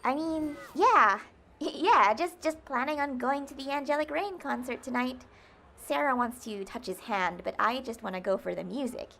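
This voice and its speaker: A woman's voice: sits high, normal speed, creaky, bright, forceful tone, a little hiss or hum, exaggerated voice. A woman playing an awkward, sweet, easily flustered teenage girl who stammers, in an audio drama.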